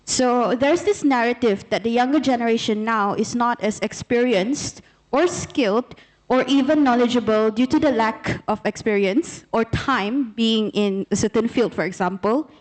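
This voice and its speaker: A woman's voice: fairly high pitch, moderate pace, rough recording. A woman in her twenties, a student putting an articulate, formal question earnestly from a conference audience. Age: 20s.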